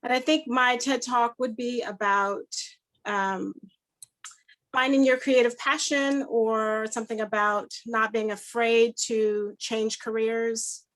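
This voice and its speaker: A woman's voice: on the high side, steady tone, slow pace, fairly bright tone, low-quality recording. A woman in her forties from Texas, giving an informal, thoughtful self-introduction. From Houston, Texas. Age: 40s. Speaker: meeting participant, art bar owner.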